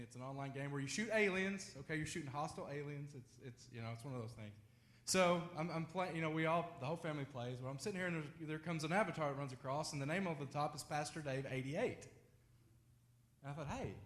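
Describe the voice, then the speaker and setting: A man with a slightly high voice speaks in a roomy, reverberant hall. He talks informally to a church audience in the role of host, in the manner of someone introducing speakers.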